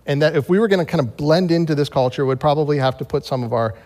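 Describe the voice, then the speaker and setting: A man's voice: on the high side, fast, mellow. American male preacher in a reverberant hall. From United States. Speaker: pastor preaching to a congregation. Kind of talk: sermon with scripture reading and personal storytelling. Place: large reverberant room, speaking to a congregation.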